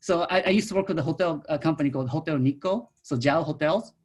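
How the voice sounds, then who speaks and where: A middle-aged man from Japan with a Japanese accent, speaking non-native English haltingly in an informal talk about himself on a video call. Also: fairly high pitch, husky. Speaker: winemaker, guest speaker.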